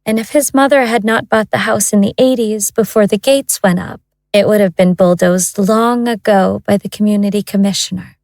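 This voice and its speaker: A woman's voice: medium pitch, steady tone, moderate pace, darkish tone, dry recording, close to an everyday voice. A woman narrating a scripted audio drama as its storyteller.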